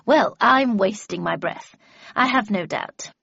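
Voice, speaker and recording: A woman voicing a stern, sharp-tongued, commanding older woman in an audiobook, brusque and brooking no argument. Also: unhurried, vocal fry, breathy edge, dry recording, dull audio, exaggerated voice. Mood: brusque, scolding. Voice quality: low growl at times. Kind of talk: audiobook character voice.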